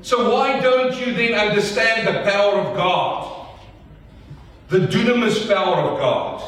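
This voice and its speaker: A man's voice: high-pitched, a bit bright. A man with a South African accent preaching a sermon as pastor, calling out to the congregation for responses. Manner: emphatic call-and-response preaching. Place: church hall. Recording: echoey church hall, mic at a distance.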